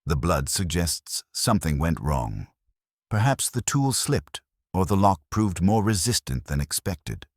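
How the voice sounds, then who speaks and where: A man's voice: low voice, big pitch swings, measured pace, no echo, studio quality, heavily acted. A man voicing a shrewd, understated English police inspector in a mystery audiobook, conversational yet formal. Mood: calm, probing.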